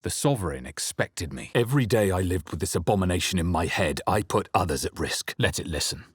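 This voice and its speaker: Brief: wry, haunted fantasy hero for a video game, slightly low husky voice, dramatic storytelling delivery. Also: male voice, expressive, fairly quick, no room sound, studio quality. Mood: grave, suspenseful. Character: male adventurer hero, wry, haunted, narrating his journey. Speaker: video game player character voice. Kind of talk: dramatic game voice lines and narration.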